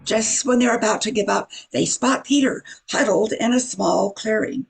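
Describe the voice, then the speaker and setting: A narrator with a gravelly, husky voice reading a scripted radio drama. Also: medium pitch, moderate pace.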